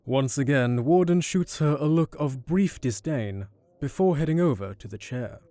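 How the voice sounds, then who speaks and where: A man serves as narrator for a fantasy audio drama. His voice is mid-pitched, soft-edged and dark in tone, reading scripted scene descriptions. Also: animated, moderate pace, no echo.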